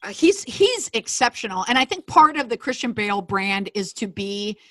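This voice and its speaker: A woman co-hosting a casual commentary chat, opinionated and animated, often laughing. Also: medium pitch, normal speed, dry recording.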